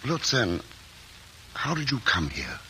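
An actor playing a thoughtful, earnest explorer in a 1940s radio drama, speaking in a measured way. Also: male voice, on the low side, huge pitch swings, pushed and bright, slight background noise, full character voice. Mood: tender. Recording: old radio broadcast with score.

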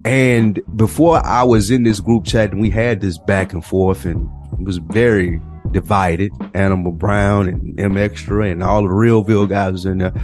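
A man with an American accent and a fairly low voice holds forth in a casual podcast discussion. He is long-winded and emphatic, often repeating a phrase for weight. Music plays behind him. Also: fairly even intonation, normal speed, hardly any pauses, darkish tone. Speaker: podcast co-host.

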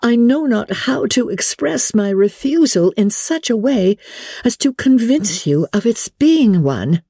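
Female reader as young gentlewoman, literary audiobook, earnest, emphatic, politely exasperated, raised pitch, lively swoops. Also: unhurried, slightly rough, no room sound, clean studio sound, full character voice. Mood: exasperated, warm. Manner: emphatic, earnest, stammering in protest.

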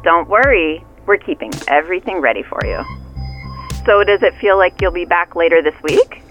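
A woman's voice: fairly low pitch, lively intonation, normal speed, fairly bright tone. Bubbly, lilting woman on the phone. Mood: bubbly, sweet. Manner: chirpy, sweet. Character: Lauren, bubbly friendly flirtatious woman co-worker. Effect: phone filter. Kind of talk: naturalistic audio drama acting. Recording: muffled phone-filtered sound with music.

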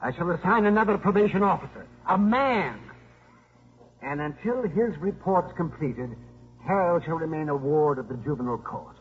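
Male actor as testy court judge, high and swooping, wry authority, 1950s radio play. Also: expressive, moderate pace, natural voice. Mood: testy, official. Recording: muffled, noisy 1950s radio recording with audience.

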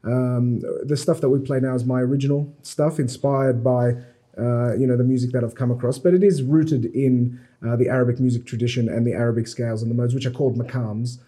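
A man raised in Australia, a musician guest explaining his instrument in a relaxed, explanatory interview manner. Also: medium pitch, brisk. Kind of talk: interview conversation.

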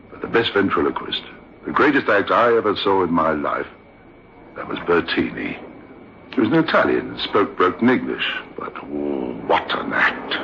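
An actor playing a middle-aged veteran theatre manager in a 1960s radio drama, rambling and conversational like a storyteller. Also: male voice, low voice, big pitch swings, normal speed, gravelly, heavily acted. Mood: nostalgic. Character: chatty, reminiscing veteran male theatre manager. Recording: muffled, noisy old radio recording.

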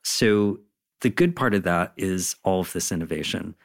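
A man's voice: deep voice, normal speed, vocal fry. A man speaking as an interview guest, explaining things in an enthusiastic, conversational and emphatic way. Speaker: interview guest, content designer.

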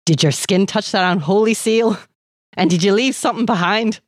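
A woman's voice: medium pitch, normal speed, no echo, pitched above the performer's own voice, close to an everyday voice. A woman puts on an earnest Scotsman from a storybook tale. He speaks in archaic, foreboding phrases with a Scottish accent. His warnings are fervent and forlorn, heard close and dry. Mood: urgent.

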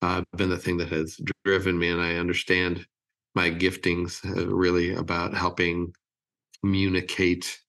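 Low, creaky-voiced American man in his fifties. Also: completely flat delivery, moderate pace, a bit bright, low-quality recording. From California, United States. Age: 50s. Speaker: interview guest, creative agency chief. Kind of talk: casual interview chat.

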